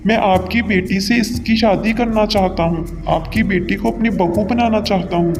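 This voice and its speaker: Stern, self-serving bird father for a kids' cartoon, played by a man; high-pitched and declamatory, in a hall-like reverb. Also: fairly even intonation, moderate pace. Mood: pleased. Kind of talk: Hindi children's cartoon dubbing. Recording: long hall reverb, music underneath.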